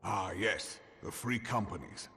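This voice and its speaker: Game council statesman, lowish pitch, formal and deliberate, big reverberant hall. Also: pushed and bright. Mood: composed. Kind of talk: dramatic fantasy game acting.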